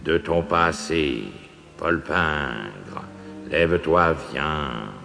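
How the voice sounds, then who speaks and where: A stern, commanding spirit from a Christmas storybook, voiced by a man. The delivery is monotone and very slow, low and grainy with creak. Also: big-room sound, faint music behind, full character voice. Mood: solemn. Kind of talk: storybook dramatization.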